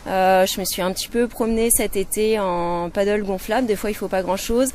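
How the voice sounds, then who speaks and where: A Breton woman in her thirties, a lifeguard, answers interview questions in an easy, unhurried way and fills pauses as she thinks. Her voice sits at a middle pitch. Faint outdoor noise stays in the background. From Brittany, France. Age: 30s. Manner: relaxed, hesitant, with fillers.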